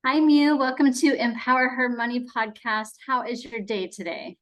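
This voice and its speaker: A woman's voice: fairly high pitch, fairly even intonation, moderate pace, a bit bright. A woman hosting a podcast, upbeat and welcoming as she introduces her show and asks her guest questions. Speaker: podcast host.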